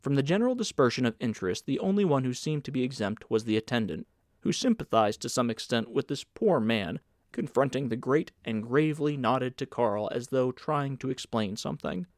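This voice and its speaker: Guy reading a classic novel out loud, measured, middle pitch, really dry recording. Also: lively intonation, dry recording. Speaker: audiobook reader. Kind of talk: scripted literary narration.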